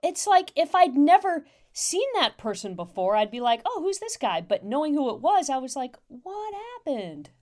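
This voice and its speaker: A woman co-hosting a casual radio chat, chatty and expressive with frequent agreement. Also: high-pitched, moderate pace, hardly any pauses.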